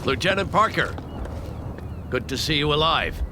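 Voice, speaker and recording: Man playing domineering American colonel, swinging pitch. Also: animated, moderate pace, background noise, natural voice. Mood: grudging. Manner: curt, intimidating, barking. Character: stern, domineering, grudging American army colonel. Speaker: army colonel. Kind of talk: video game cutscene acting. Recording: loud music behind.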